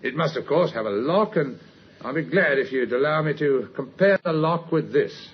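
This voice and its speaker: An actor playing a polite, diligent police sergeant, courteous and formal, in old-time radio drama. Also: male voice, a little high, lively intonation, normal speed, background noise, lightly acted. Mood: polite, apologetic. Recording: dull, lo-fi old radio recording.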